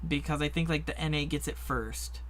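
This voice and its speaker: A man co-hosting a casual gaming podcast, chatty and lively, with lots of likes and ums. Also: fairly high pitch, fairly quick. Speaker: co-host.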